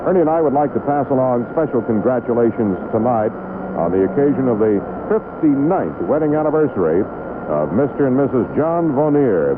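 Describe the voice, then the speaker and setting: An American man calling live baseball play-by-play on the radio. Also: medium pitch. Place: outdoor stadium broadcast booth. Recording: noisy tinny vintage 1970s radio broadcast. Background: hissy stadium ambience noise.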